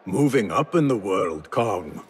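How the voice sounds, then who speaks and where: An actor playing a young former street thief turned assassin in a video game, speaking in an earnest, measured, courteous way. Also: male voice, lowish voice, big pitch swings, slightly rough, exaggerated voice. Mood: calm, secretive. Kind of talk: video game character acting.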